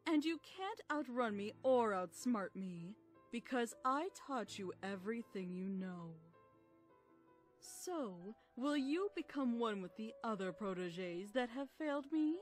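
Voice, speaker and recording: Girl doing an evil alicorn princess, drags words out slow, sweet but threatening, highish, fan drama. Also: lively intonation, faint music behind. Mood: gloating menace. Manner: slow, menacing, coaxing. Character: sinister princess alicorn, falsely sweet murderous mentor turned tyrant. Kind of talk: fan fiction audio drama.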